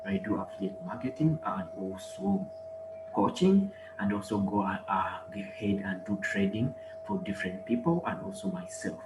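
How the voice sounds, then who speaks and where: A man, speaking energetically over a video call. He urges listeners on with repeated calls. The room sounds echoey, with clear music playing behind him. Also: fairly low pitch, expressive, moderate pace. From Uganda. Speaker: guest speaker, online marketer and trader. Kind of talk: motivational conference presentation.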